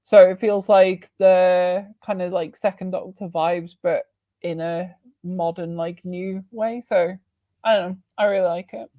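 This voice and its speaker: A woman's voice: on the low side, steady tone, measured pace, dry recording, phone-quality sound. A woman hosting a casual podcast chat, rambling and filling her talk with lots of "like" and "um". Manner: rambling, heavy on fillers. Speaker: podcast host.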